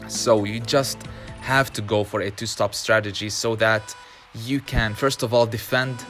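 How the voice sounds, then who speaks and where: A man whose first language is Arabic gives casual commentary while playing a racing game, chatty and often frustrated, laughing and filling pauses with "um". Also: on the low side. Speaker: gaming channel host.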